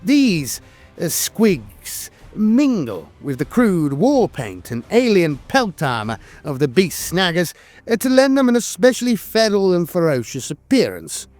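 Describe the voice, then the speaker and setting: A man's voice: high voice, sing-song, a little slow, a little mellow, soft background music. A man narrating a scripted piece of fantasy lore in a dramatic storytelling manner.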